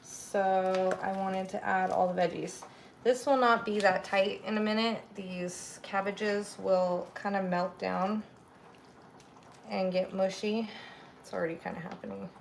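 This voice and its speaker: A woman with a gravelly, raspy voice talks through her cooking in a home kitchen, a little under the weather. She takes her time, rambling casually from one step to the next. The recording carries clear background noise from the room. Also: mid-range voice, fairly even intonation, unhurried. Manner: chatty, rambling, narrates each step while cooking. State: a little sick. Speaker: cooking livestream host. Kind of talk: casual cooking livestream chat.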